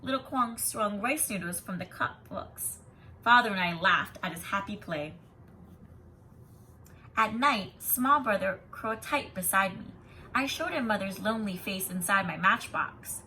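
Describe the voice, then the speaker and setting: A woman teacher reading a children's story expressively and pausing to explain it in an instructive, emphatic way. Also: mid-range voice, animated, pushed and bright, a little hiss or hum, low-quality recording. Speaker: kindergarten teacher.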